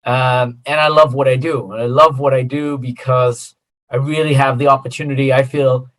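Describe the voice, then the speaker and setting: A middle-aged man from the United States, a consultant guest answering interview questions in a relaxed, friendly way with many fillers. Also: medium pitch, moderate pace, poor audio.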